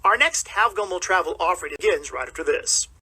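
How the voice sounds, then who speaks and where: A man presenting as a classic radio host in an upbeat style, with a husky edge to his voice. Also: high voice, lively intonation, brisk, sharp tone.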